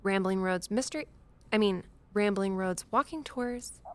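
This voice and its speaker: An actress playing an eager, civic-minded walking tour team member in an audio drama, helpful and spirited. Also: female voice, mid-range voice, a little slow, natural voice. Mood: eager, salesy.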